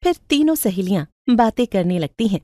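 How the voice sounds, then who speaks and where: A woman's voice: mid-range voice, big pitch swings, moderate pace, warm tone, no room sound. A woman reading scripted storybook narration.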